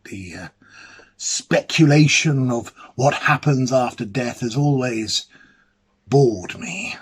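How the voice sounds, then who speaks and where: A man playing an ailing, lonely, fussy bachelor academic in a dramatic ghost-story monologue, musing hesitantly and theatrically in a husky voice. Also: medium pitch, takes it slowly. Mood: resigned unease. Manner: hesitant, musing, theatrical, with murmured asides and swelling emphasis.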